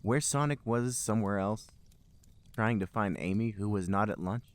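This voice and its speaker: A man's voice: fairly low pitch, moderate pace, clear, resonant tone. A man hosting a podcast, reading amateur fiction aloud as comic narration with an emphatic storybook delivery.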